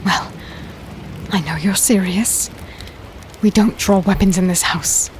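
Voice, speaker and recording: A woman playing a stubborn, caring archer wife in a dark fantasy audio drama, blunt and expressive. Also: medium pitch, slow pace, over music, natural voice. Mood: defiant.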